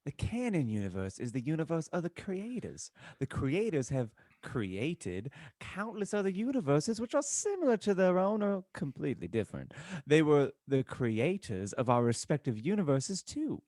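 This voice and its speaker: A man's voice: fairly high pitch, sing-song, moderate pace, a little mellow, no room sound. A man voicing a patient, preachy adult explaining a prophecy in a comic dramatic reading of fan fiction. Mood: earnest, explanatory.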